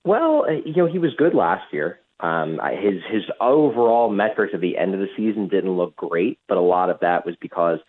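Tinny phone line, deep husky male reporter. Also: big pitch swings, normal speed, hardly any pauses. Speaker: hockey reporter, radio guest. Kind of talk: conversational sports analysis.

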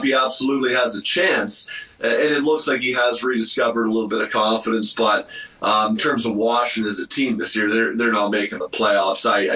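A man giving conversational, opinionated sports commentary as a guest analyst, weighing his verdicts in a measured way. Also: mid-range voice, pushed and bright. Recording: roomy, echoey remote call, distant mic.

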